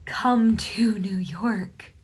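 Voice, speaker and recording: A woman's voice: mid-range voice, drawn-out words, a little mellow, slightly rough, heavily acted. A young woman playing a pragmatic, defensive but caring older sister in a stage drama, speaking insistently and persuasively. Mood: coaxing, upbeat. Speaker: student actor. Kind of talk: naturalistic stage drama acting.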